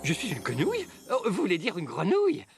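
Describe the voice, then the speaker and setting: A man voicing an excitable, touchy frog prince in an animated film dub, indignant and easily flustered. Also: sits high, fast, vocal fry, soft background music, heavily acted.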